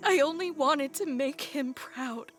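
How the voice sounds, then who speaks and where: Woman playing a half-genie girl, young, sarcastic, slow and high, soft music behind. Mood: troubled. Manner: dry, sarcastic banter. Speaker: voice actor. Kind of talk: audio drama acting. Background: faint background music.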